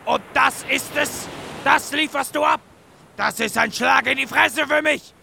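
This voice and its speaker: A man's voice: extremely high pitch, unhurried, vocal fry, a little hiss or hum, exaggerated voice. An actor playing a pompous, irritable narrator who bosses his assistant around, theatrical and exasperated, in a comic audio drama. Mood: outraged. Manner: theatrical, exasperated, swinging from muttering to shouting.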